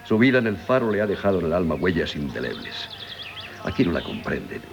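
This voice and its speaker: Genial doctor, animated low voice. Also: male voice, a little fast, vocal fry, over music, heavily acted. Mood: concerned, confiding. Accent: Castilian Spanish. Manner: hesitant, many filler sounds. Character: genial, hesitant middle-aged country doctor and father. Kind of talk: 1970s Spanish radio drama acting.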